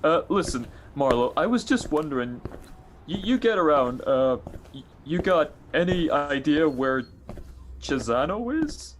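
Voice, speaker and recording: A man's voice: on the high side, big pitch swings, measured pace, a little hiss or hum. A man playing a dim, shifty, defensive ex-con in a 1940s-style detective radio play, stammering and talking in slang. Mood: evasive, nervous. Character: dim, shifty, defensive male small-time ex-con crook.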